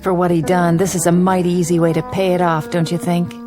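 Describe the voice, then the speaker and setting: An actress playing a bitter, vengeful sheriff's wife in a radio drama. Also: female voice, fairly low pitch, level tone, a little fast, a little mellow, soft background music, natural voice. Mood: spiteful. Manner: harsh, spiteful.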